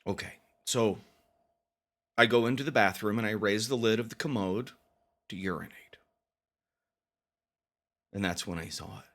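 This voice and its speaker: A man telling a first-person story as a dramatic confession, with deliberate pauses and mock-grave, theatrical emphasis. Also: lowish voice, a bit bright. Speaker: storytelling podcast narrator.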